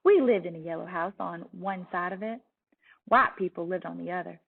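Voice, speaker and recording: A woman with a Southern US accent giving an expressive storybook read-aloud as a teacher, telling it in a young girl's words with soft dips at phrase ends. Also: lowish voice, brisk, vocal fry. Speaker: teacher reading a picture book aloud. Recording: distant, tinny phone-like sound.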